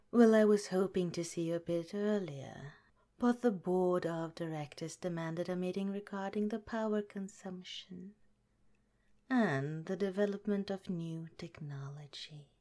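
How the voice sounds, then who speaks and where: A woman voicing a calm, caring woman scientist director in an ASMR roleplay, speaking gently and politely in a soft, whispery way. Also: on the low side, a little slow, natural voice. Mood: gentle, reassuring.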